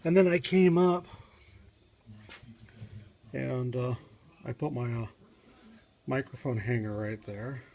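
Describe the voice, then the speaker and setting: A man gives a casual, unscripted how-to walkthrough in a halting, rambling, matter-of-fact way, recorded on a handheld phone in a garage. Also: mid-range voice, darkish tone. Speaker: hobbyist car DIY demonstrator. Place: home garage, in and around a car. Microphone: handheld phone, moved around while filming. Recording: distant mic, noisy handheld phone recording.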